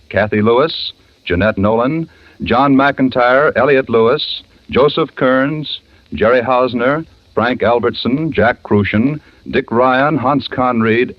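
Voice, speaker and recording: A man's voice: medium pitch, no room sound. A man reading credits as a 1940s radio announcer. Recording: dry, dull vintage radio with faint music. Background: faint music.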